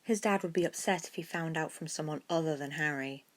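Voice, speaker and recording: A woman's voice: low voice, a little fast. A woman reading scripted fiction as a story narrator.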